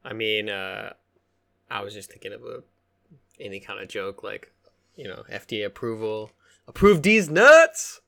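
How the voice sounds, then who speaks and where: A man's voice: fairly low pitch, sing-song, normal speed, creaky, long pauses, fairly bright tone. A man co-hosting a podcast, chatting in a casual, joking and rambling way with some swearing. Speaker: podcast co-host. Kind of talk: casual comedic chat.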